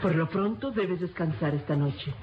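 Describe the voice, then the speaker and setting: A concerned, soothing young woman played by an actress speaks in a measured way. It is radio melodrama, on a muffled recording with slight background noise. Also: lowish voice, natural voice. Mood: consoling. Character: gentle, concerned young woman, resigned companion. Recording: dull old radio recording, faint noise.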